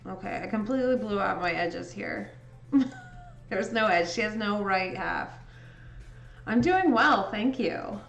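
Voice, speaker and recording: A woman's voice: mid-range voice, vocal fry, lo-fi sound. A young woman from Indiana with a Midwestern accent, chatting casually with viewers during a livestream while she edits photos. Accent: Midwestern American. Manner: slow, relaxed, chatty with frequent laughs. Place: indoor home room. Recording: echoey room with faint music.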